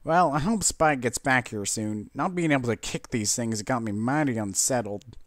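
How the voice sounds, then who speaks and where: A man's voice: medium pitch, normal speed, no echo, lightly acted. A man voicing a plain-spoken, feisty country mare with folksy, blunt phrasing in a cartoon story. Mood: unsettled. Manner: folksy, blunt drawling idiom.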